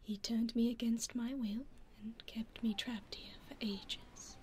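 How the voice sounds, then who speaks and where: British woman doing an ancient vampire lady asmr, breathy whisper right up close, slightly high voice, really unhurried, aloof but kind. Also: steady tone, slight background noise. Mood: cool, bitter. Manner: hushed, unhurried, intimate. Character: centuries-old vampire lady, aloof yet quietly caring. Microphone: close binaural microphone.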